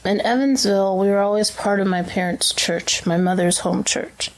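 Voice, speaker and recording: A woman speaking as an interview guest, chatty and reflective, with frequent fillers and restarts. Her pitch sits at an ordinary middle height for a woman. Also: normal speed, low-quality recording. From Brazil, raised as a missionary kid. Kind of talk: casual reflective conversation.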